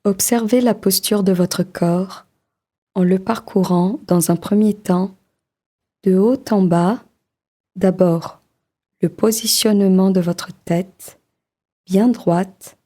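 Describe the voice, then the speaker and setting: Woman, meditation guide, soothing, mellow, very slow. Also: mid-range voice, steady tone. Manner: slow, soft, soothing delivery with long pauses.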